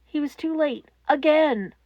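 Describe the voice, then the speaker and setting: A woman narrating scripted fan fiction in a solemn, measured way with dramatic pauses. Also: sits high, no room sound, highs cut off.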